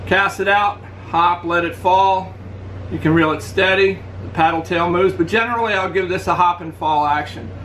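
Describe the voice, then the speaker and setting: A middle-aged man talks to a webcam in his living room in a relaxed, practical way. His voice sits fairly high for a man. A faint air conditioner hum runs underneath. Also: moderate pace. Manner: relaxed, practical, shows lures to camera. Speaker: fishing channel host. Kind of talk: casual gear review. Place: indoors, living room at home.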